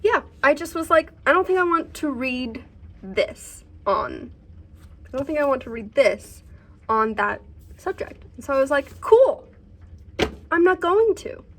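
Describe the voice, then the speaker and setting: A woman chatting casually about books in a vlog, halting as she thinks aloud. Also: high voice, very animated. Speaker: book reviewer and vlogger.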